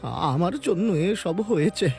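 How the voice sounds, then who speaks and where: A man's voice: high voice, animated, gravelly, a little mellow, faint music behind, exaggerated voice. An actor playing a frightened young village man who stammers as he pleads. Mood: fearful, desperate. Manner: stammering, halting.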